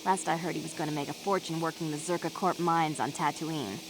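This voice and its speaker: Low-voiced, jaded young woman. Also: fairly even intonation, fairly quick, noisy background. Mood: resigned. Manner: exasperated, plain-spoken. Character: jaded, blunt young woman, scornful ex-girlfriend. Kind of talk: video game character dialogue.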